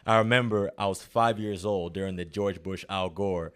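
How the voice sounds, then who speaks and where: A man's voice: low voice, steady tone, clear, resonant tone. A young man from Houston, a political candidate, answering interview questions earnestly and agreeing often. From Houston, Texas. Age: young adult. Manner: affirming, earnest, quick to agree.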